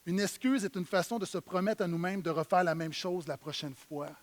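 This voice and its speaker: High-voiced Québécois pastor preaching. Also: male voice, fairly quick. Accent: Québécois French. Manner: emphatic preaching, swinging from loud to low. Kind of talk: sermon. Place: church.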